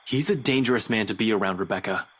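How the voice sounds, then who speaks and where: A man's voice: fairly low pitch, fast talker, a bit bright, breathy edge. A man voicing a brooding, evasive young man in a visual novel, stern and worried, speaking in a guarded, serious way.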